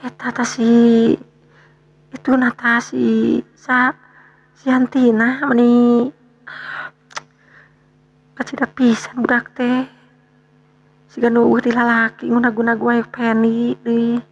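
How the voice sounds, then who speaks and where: An actress playing an anxious, complaining middle-aged wife in a radio drama, speaking in a fretful, questioning way on a dull recording. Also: female voice, a little high, completely flat delivery, slow, pauses often. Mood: exasperated. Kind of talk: radio storytelling drama dialogue.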